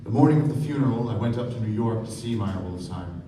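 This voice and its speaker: A man's voice: fairly low pitch, expressive, fast talker, background noise, close to an everyday voice. A man playing an earnest, sombre narrator in a live radio play, delivering scripted literary prose and dialogue. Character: earnest, sombre male narrator and loyal friend. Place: live stage performance. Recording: echoey distant stage.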